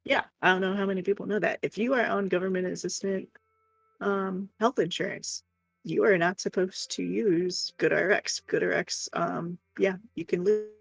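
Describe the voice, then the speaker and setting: A woman's voice: medium pitch, steady tone, moderate pace, vocal fry, breathy edge. A woman streamer chatting casually and telling stories in a frank, exasperated, sweary way. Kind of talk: casual storytelling chat. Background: faint music.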